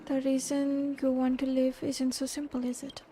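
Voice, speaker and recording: A woman's voice: high-pitched, monotone, moderate pace, dark tone. A woman with an Indian accent giving casual live game commentary as a streamer, switching between two languages. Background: game music.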